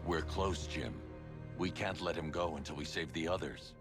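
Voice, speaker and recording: An actor playing a grim, brooding male vigilante who talks in terse, gravelly lines in a video game cutscene. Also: rumbling low, normal speed, music in the background. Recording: game score behind.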